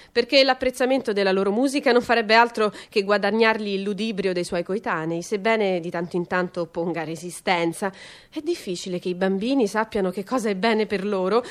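A woman reads a literary monologue in a theatrical, emphatic way. Her voice sits at a middle pitch and shifts between hushed asides and forceful declarations, as in radio theatre. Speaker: stage reader performing a literary monologue.

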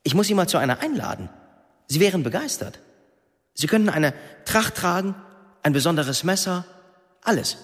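A man's voice: high voice, big pitch swings, normal speed, long pauses, no room sound, clean studio sound. A man playing a chatty, proud young Saudi host in naturalistic radio drama dialogue, familiar in manner. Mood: proud, playful.

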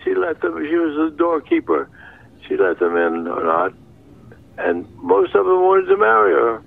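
An older man answers interview questions in a halting way. His voice is very creaky and swings widely in pitch. The recording is thin and narrow, like a phone line. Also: sing-song. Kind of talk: recalling memories in an interview. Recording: thin, band-limited interview tape.

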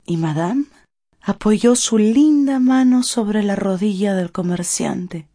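A woman's voice: mid-range voice, lively intonation, slow, warm tone. A woman narrating a radio drama from a script in a theatrical, emotive storytelling manner.

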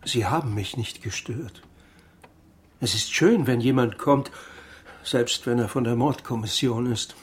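A man's voice: medium pitch, measured pace, breathy edge. An actor in a radio crime drama playing a bitter, lonely laid-off editor in his fifties, aggrieved, wry and articulate. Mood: resigned. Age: 50s. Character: bitter, lonely laid-off former editor-in-chief, man of fifty-four.

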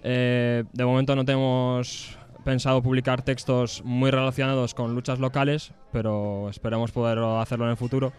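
Man, Spain accent, very smooth clean voice, flat intonation, hesitant, careful, interviewed publisher. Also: mid-range voice. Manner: hesitant, careful, many pauses. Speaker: interviewed small-press publisher. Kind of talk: informal interview answers.

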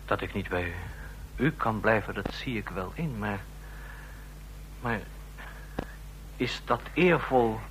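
An actor playing a nervous, submissive subordinate agent, hesitant and deferential, in a radio drama. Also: male voice, lowish voice, lively intonation, takes it slowly, pauses often, a bit bright, poor audio. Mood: subdued, anxious. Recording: vintage radio play with faint hum.

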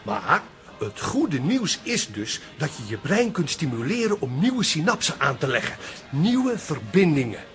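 A pompous male know-it-all in a radio comedy-drama. His highish, animated voice lectures pedantically, with faint music underneath. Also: unhurried. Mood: lecturing.

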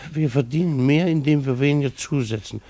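An actor in a German radio play playing a weary, dryly sarcastic husband, resigned and hesitant. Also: male voice, medium pitch, brisk, dark tone, dry recording, close to an everyday voice. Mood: dry, sceptical. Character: weary, dryly sarcastic husband, a civil servant who gives in.

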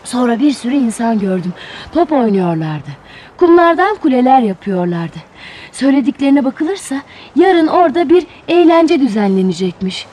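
A devoted, chatty crab from a children's tale, acted out by a woman. The pitch is high and very mobile, eager and friendly, over faint noise. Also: very animated, moderate pace. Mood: cheerful. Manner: chatty, warm-hearted, eager to tell. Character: friendly devoted crab, cheerful news-bringing best friend. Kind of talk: children's storybook character voice.

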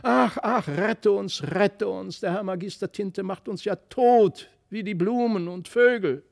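Man voicing a high, plaintive little girl. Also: vocal fry. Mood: tearful pleading. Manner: plaintive, drawn-out exclamations. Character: tender, frightened little girl, pleading.

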